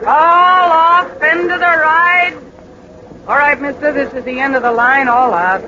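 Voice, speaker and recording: An excitable, bossy ride attendant, with a very high voice and clipped delivery, in 1940s radio drama style. The recording is noisy and dull. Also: male voice, measured pace. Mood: urgent, calling out.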